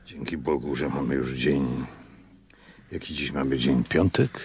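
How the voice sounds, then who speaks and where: Deep-voiced old innkeeper. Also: male voice, fairly even intonation, a little slow, heavy background noise. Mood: weary. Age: older. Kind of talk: radio drama acting.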